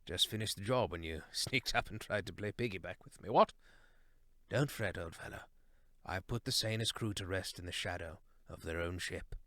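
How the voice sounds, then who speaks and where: A man's voice: medium pitch, very animated, moderate pace, bright, forceful tone, dry recording, studio quality, voice pushed up. A man voicing a jolly, plummy hare who blusters and says "what, what?". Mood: hearty. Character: jolly hare, plummy upper-class old soldier type.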